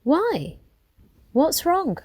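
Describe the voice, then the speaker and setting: High, curt, exasperated lawyer. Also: female voice, huge pitch swings, extremely slow, darkish tone, dry recording, exaggerated voice. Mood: annoyed. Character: exasperated woman lawyer pulled out of a meeting. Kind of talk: radio play acting.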